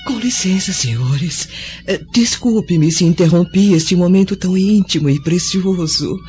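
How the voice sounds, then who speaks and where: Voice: mid-range voice, expressive, measured pace, darkish tone, soft background music, natural voice. A performer voicing an old, humble and kind female servant in a radio drama, speaking deferentially. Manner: deferential, polite, hesitant. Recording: dull lo-fi audio with faint score.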